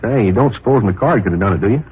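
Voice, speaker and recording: An actor playing a folksy, deferential but insinuating ranch hand in an old radio drama, plain-spoken. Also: male voice, lowish voice, lively intonation, quick pace. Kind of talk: 1940s radio drama acting. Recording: muffled, rough old radio transcription.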